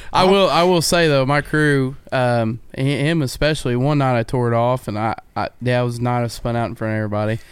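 Man, 20s, relaxed storyteller, smooth clear voice. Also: medium pitch, normal speed. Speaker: race car driver guest. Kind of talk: casual podcast chat.

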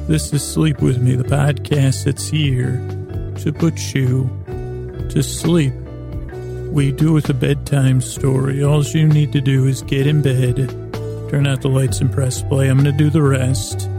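A man hosting a bedtime podcast in a gravelly voice, rambling and meandering with halting repeats as he tries to lull listeners. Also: medium pitch. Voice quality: gravelly, creaky. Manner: meandering, halting, repeating himself, soothing intent. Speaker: podcast host.

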